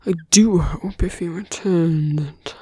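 A man voicing a seductive, smug fantasy villain in an ASMR roleplay, coaxing in a breathy, teasing whisper close to the mic. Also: a little high, slow pace, warm tone, dry recording, heavily acted. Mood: smug concern. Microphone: close dry microphone.

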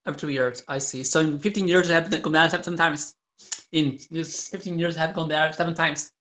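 An excitable man in his thirties calls in on a phone held right up to his face in a small tiled room. His voice is high and bright with a sharp, forward edge. He reacts fast and exclaims often. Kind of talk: casual video call chat. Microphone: phone held close to the face.

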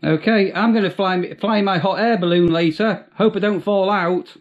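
British bloke, quite high voice, deadpan casual chat, bit lo-fi. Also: male voice, moderate pace. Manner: deadpan, dry humour. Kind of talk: casual prank phone chat. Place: small domestic room. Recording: slightly dull, lo-fi home recording.

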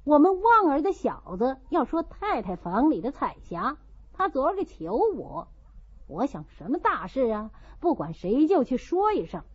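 A grumbling young gentleman of a great house , played by a woman narrator in a storybook. He complains offhandedly with lively swings of pitch. The audio is a little dull. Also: moderate pace. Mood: musing, offhand. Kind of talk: audiobook character reading of a classical novel.

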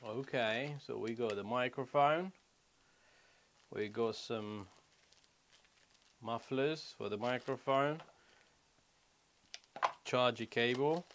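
A man's voice: mid-range voice, takes it slowly, long pauses, a bit bright, a little hiss or hum. A man in his thirties giving a casual hands-on product walkthrough, explaining step by step in short phrases as a vlogger. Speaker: motorcycle vlogger. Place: small wooden shed or garage room.

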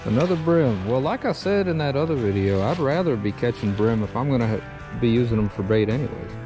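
A man's voice: mid-range voice, a little fast, over music. A man, chatting casually through a fishing vlog outdoors on a chest-mounted action camera. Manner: relaxed drawl, chatty, says y'all. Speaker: fishing vlogger. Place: outdoors by a pond and river bank.

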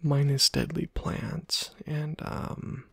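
A man giving casual, halting ASMR game commentary in a soft, breathy, whispery voice, murmuring as if to himself. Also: a little high, animated, slight background noise. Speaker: gaming video host.

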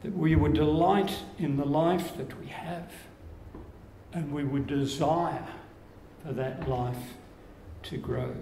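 An older man with an Australian accent preaching a sermon, slow and measured, his phrases falling away at the ends. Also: on the high side, dark tone. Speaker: pastor. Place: church room with curtains. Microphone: lapel mic. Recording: echoey church room, faint background hiss.

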